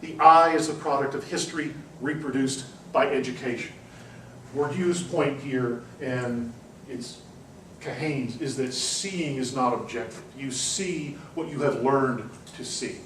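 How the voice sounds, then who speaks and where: A man's voice: medium pitch, unhurried, a bit bright. A man holds forth on ideas in front of a seminar class, thinking aloud, with ums and self-corrections. His voice sits in the middle range and carries faint room hiss. He is heard from a distance in a reverberant classroom. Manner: discursive, with frequent ums and restarts. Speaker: university lecturer. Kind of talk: classroom lecture. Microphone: far room recording. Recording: echoey classroom from far off.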